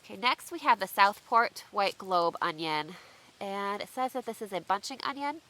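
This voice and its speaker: A woman's voice: mid-range voice, animated, normal speed, bright, forceful tone, rough recording. A woman chatting casually outdoors to her viewers as she unboxes seeds, sounding a bit stuffy and congested from allergies. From United States. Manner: chatty, asks viewers for tips. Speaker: homestead vlogger. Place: outdoors under shifting trees.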